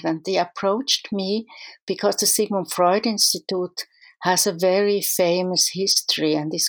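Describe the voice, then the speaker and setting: A middle-aged woman with a Swiss accent speaks in a somewhat low voice. She answers interview questions as a psychoanalyst, thoughtful and earnest, often restarting her sentences as she searches for words. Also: gravelly. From Switzerland.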